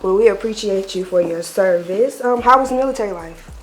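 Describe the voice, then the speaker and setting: A woman's voice: mid-range voice, fairly quick. A woman hosting a casual interview, asking friendly questions and giving short encouraging replies. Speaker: interviewer host.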